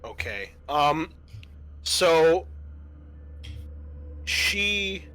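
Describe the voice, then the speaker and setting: Halting man game master, bright voice. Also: on the high side, big pitch swings, clear, resonant tone. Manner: halting, informal, groping for words. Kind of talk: improvised narration of tabletop roleplaying game.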